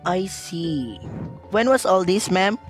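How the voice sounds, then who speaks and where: A man playing a dismissive, placating police officer taking a call in a radio drama, patronizing and unhurried. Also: high voice, lively intonation, faint music behind. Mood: routine, patient.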